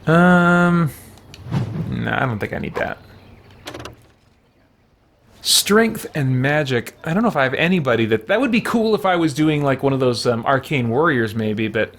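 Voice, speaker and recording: A man's voice: mid-range voice, animated, moderate pace, long pauses, fairly bright tone, a little hiss or hum. A middle-aged man doing casual gameplay commentary, thinking aloud in a hesitant, musing way. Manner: hesitant, musing, mutters to himself. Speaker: game streamer.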